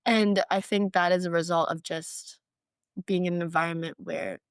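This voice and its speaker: Young woman from hong kong, earnest and reflective, lowish voice, dry close sound. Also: steady tone, moderate pace, clean tone, no echo. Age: 20s. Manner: reflective, earnest, uses "like" and "you know" often. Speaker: guest. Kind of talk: podcast interview.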